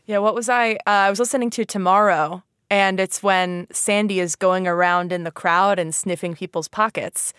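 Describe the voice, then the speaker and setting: A woman hosts an educational podcast with a casual, chatty delivery. She is playful and self-deprecating, sprinkling "like" through her sentences. Her voice has an average pitch and sits in a very dry recording space. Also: steady tone, moderate pace, few pauses, fairly bright tone, clean tone, dry recording. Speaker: podcast host.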